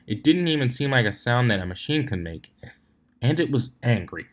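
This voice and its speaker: Guy reading a fanfic out loud, normal pitch, trips on words and goes back over them. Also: big pitch swings, moderate pace. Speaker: fan fiction reader, narrator. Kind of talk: scripted story narration.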